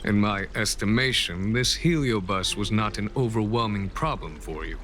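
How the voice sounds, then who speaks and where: A man voicing a composed, courteous general in a video game, formal, calm and measured. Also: lowish voice, slight background noise, natural voice. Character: composed, courteous male general, seasoned warrior. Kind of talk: video game character acting.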